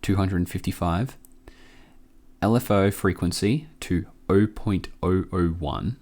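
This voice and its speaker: A man hosting a casual software tutorial, informal and a bit hesitant as he thinks aloud. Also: low-pitched, slow pace. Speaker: tutorial host.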